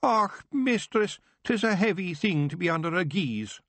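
A man voicing a tiny, rowdy male fairy warrior with a Scottish accent and a high, squawking, boisterous manner. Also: brisk, fairly bright tone, no echo. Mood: wailing.

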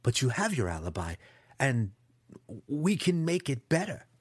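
A man voicing a desperate young male dark elf student, earnest and urgent as he pleads and schemes in a fantasy audiobook. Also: mid-range voice, big pitch swings, unhurried, breathy edge, dry recording, pitched above the performer's own voice. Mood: panicked pleading.

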